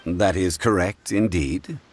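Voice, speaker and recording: An actor playing a calm, erudite gentleman scholar in a video game, speaking in a measured, formal and courteous way. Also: low voice, slight background noise. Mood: composed, assured.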